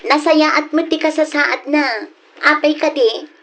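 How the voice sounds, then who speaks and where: A woman's voice: high voice, measured pace, fairly bright tone, slight background noise. A woman playing a disrespectful, impatient grown daughter in a radio drama, whiny and snappy, with an Ilocano accent. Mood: defensive.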